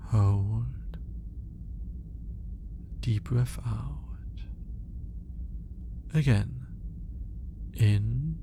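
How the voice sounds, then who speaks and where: A man playing a clinical, curious alien scientist in a soft, intimate ASMR roleplay, measured but grudgingly fascinated. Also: mid-range voice, noisy background. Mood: clinical, detached. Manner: clinical, measured, hesitant when flustered.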